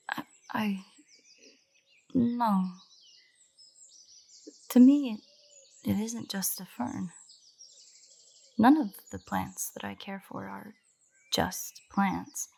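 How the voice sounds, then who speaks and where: A nerdy royal botanist, acted by a woman in a fantasy audio drama, with a breathy, slightly creaky voice. She is earnest and formal, explaining things with careful precision as if lecturing a curious visitor. Birdsong plays behind her. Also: medium pitch, a little mellow, exaggerated voice. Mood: passionate. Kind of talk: roleplay audio drama, scripted monologue to the listener. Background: birdsong, garden ambience.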